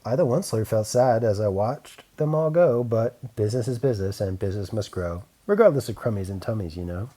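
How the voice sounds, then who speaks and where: A man narrating a rhyming children's audiobook, with an expressive, emphatic and rhythmic dramatic delivery. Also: on the low side, fairly quick, few pauses. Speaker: audiobook reader.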